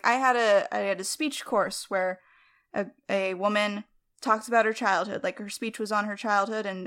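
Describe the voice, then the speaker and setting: A woman co-hosting a podcast, talking casually in a halting, conversational way with trailing asides. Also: medium pitch, a bit bright. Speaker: podcast co-host. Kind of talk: casual discussion.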